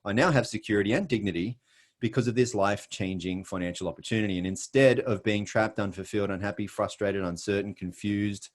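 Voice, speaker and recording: Australian male presenter, middle-aged, lower register, earnest and emphatic, on a video call. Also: fairly quick. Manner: earnest, emphatic storytelling with self-deprecating jokes. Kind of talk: scripted motivational presentation.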